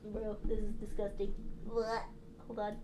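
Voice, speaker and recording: A woman's voice: medium pitch, monotone, unhurried, background noise. A young woman with a stuffy nose, chatting casually and rambling on a live stream from home. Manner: rambling, trailing off, frequent laughs.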